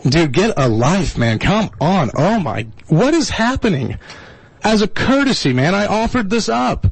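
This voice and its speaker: A man's voice: high-pitched, big pitch swings, measured pace, gravelly, slight background noise, poor audio. A man hosting a live call-in radio show in a folksy, exasperated way, often repeating himself.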